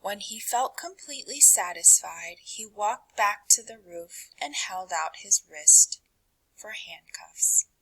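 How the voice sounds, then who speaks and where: A woman reading a children's picture book aloud in an expressive, dramatic way, with deliberate pauses and hushed phrase endings. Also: mid-range voice, slightly rough, low-quality recording.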